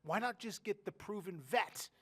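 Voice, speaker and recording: A man's voice: sits high, normal speed, fairly bright tone. A man co-hosting a sports podcast, nasal from a bad cold, talking casually with lots of fillers and restarts.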